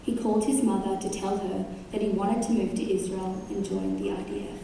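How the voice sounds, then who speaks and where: A woman reading a memorial tribute aloud in a solemn, deliberate way at a school assembly. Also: mid-range voice, steady tone, vocal fry, dark tone, slight background noise. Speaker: student presenter. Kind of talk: scripted memorial narration. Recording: echoey hall from far off.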